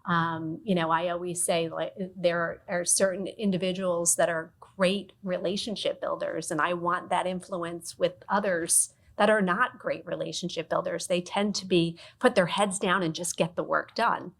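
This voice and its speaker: A woman speaking on a business panel as a leader who talks about mentoring, thoughtful and measured with frequent restarts. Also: lowish voice, fairly even intonation, creaky. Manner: thoughtful, restarts often, coaching tone. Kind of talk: panel discussion.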